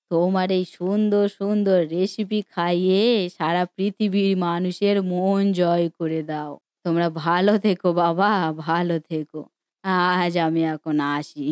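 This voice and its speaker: A woman's voice: on the low side, steady tone, unhurried, a little mellow, clean tone, no echo, studio quality, lightly acted. Woman voicing an affectionate elderly village granny. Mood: tender blessing. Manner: affectionate, exclaiming, calls others "child". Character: kindly elderly village granny, grateful and blessing. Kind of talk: Bengali cartoon story voice acting.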